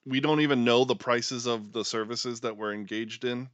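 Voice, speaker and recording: A man's voice: mid-range voice, steady tone, normal speed, a bit bright, no echo, muffled recording. A man co-hosting a podcast, introducing the show playfully and chatting casually about politics. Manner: jokey, theatrical introductions. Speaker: podcast co-host.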